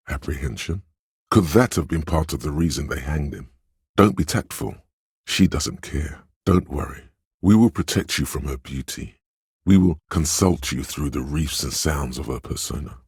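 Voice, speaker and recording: A man voicing a sly, manipulative inner voice in a video game, husky and breathy, speaking in a measured, coaxing, insinuating way. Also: very deep voice, no echo, studio quality, exaggerated voice. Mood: advising, insinuating. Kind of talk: scripted video game voice acting.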